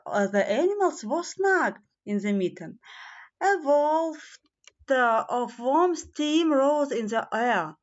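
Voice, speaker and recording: A woman reads a children's fairy tale aloud as a storybook narrator, stumbling now and then over her words. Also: high voice. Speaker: storybook reader. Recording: slightly dull, lo-fi sound.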